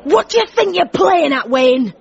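A woman playing a bossy, breezy, down-to-earth character with a British accent in a comedy audio drama, brisk and scolding. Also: high voice, sing-song. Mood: exasperated. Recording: dull recording with slight hiss.

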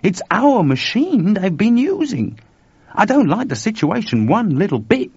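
A man's voice: high-pitched, huge pitch swings, a little slow, darkish tone, slightly rough, no room sound, pitched above the performer's own voice, full character voice. A man voicing an anxious, urgent male technician in a story reading, recorded dull and dry. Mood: worried.

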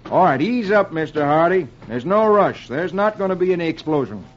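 A man voicing a brisk, hearty cowboy hero in an old radio comic-strip reading. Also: fairly high pitch, very animated, a little hiss or hum. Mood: reassuring. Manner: urgent, hearty. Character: brisk heroic singing-cowboy hero, calm under danger. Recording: muffled old radio broadcast with music.

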